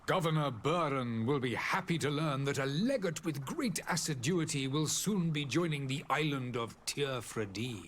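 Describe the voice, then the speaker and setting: An actor playing a courteous, flattering official in a video game, speaking in a formal, deferential manner. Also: male voice, fairly high pitch, moderate pace, hardly any pauses, slight background noise. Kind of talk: scripted game dialogue.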